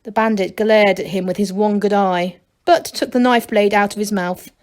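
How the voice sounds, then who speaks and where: A woman's voice: medium pitch, steady tone, moderate pace. A woman reading a children's storybook aloud as narrator, like a teacher to a class.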